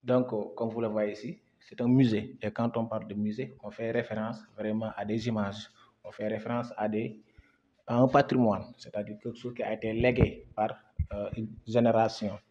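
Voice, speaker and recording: A man's voice: fairly low pitch, little pitch movement, normal speed, warm tone, slight background noise. A young man from Senegal, a history student, giving a guided museum tour, hesitant and full of fillers and repeated words. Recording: dull lo-fi sound.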